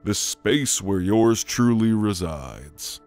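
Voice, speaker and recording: Man playing a slow, low-voiced gentle shadow demon. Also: lively intonation, faint music behind. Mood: calm, explaining. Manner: soft, halting, soothing. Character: gentle male shadowy sleep demon, a shade. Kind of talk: ASMR roleplay, spoken to the listener.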